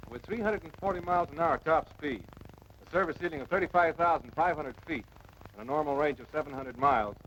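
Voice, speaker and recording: An actor playing a seasoned, patient air force officer giving measured, authoritative instruction in a 1940s military training film. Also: male voice, a little high, fairly bright tone. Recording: noisy dull vintage film soundtrack.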